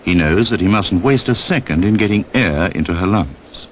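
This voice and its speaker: Low-voiced narrator, old film sound. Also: male voice, animated, moderate pace, slight background noise. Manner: direct, addresses the listener, rhetorical questions. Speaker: narrator of a public information film. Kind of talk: 1960s instructional film narration, scripted. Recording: dull band-limited 1960s film soundtrack.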